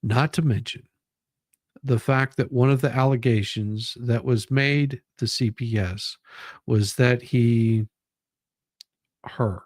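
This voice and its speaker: A man reading documents aloud and commenting on them in a halting, deliberate way. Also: mid-range voice, steady tone, no room sound. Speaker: commentary show host.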